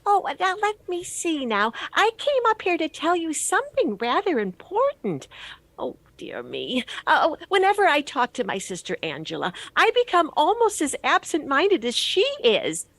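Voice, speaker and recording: A woman's voice: sits high, huge pitch swings, normal speed, a bit bright, no echo, heavily acted. An actress playing a flighty, absent-minded older landlady who dithers and stammers, in vintage radio comedy style. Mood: fussy, chatty.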